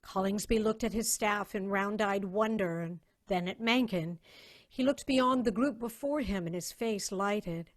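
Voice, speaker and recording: A woman's voice: mid-range voice, a little slow. A woman narrating a science fiction story as a scripted dramatic reading.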